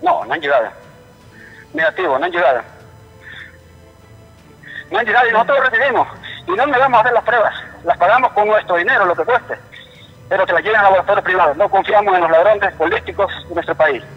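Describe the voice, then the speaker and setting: A man with a Honduran accent calling a live news programme by phone, speaking in an indignant, halting way and repeating himself. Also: high voice, vocal fry, slightly rough, faint music behind. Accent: Honduran Spanish. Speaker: phone-in caller, relative of a patient. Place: telephone call to a TV studio. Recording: muffled, reverberant phone line.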